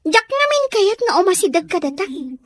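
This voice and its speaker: A woman's voice: very high-pitched, sing-song, normal speed, no room sound, clean studio sound. A woman playing a troubled, defiant teenage daughter in an Ilocano audio drama, with a Filipino accent. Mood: irritated.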